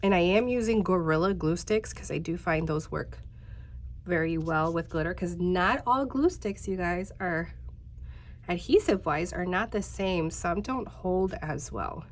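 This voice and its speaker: A woman's voice: fairly low pitch, lively intonation, measured pace. A woman in her thirties, a bit congested and nasal, chatting casually to viewers while leading a step-by-step craft tutorial. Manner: chatty, talks straight to viewers, many fillers.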